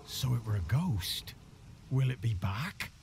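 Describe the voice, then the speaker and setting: An actor playing a weary, deferential peasant village elder in a video game, solemn and rustic. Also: male voice, mid-range voice, sing-song, measured pace, gravelly, darkish tone, slight background noise. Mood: uneasy, resigned. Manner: rustic, solemn, archaic dialect. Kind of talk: dramatic video game acting. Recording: music under the dialogue.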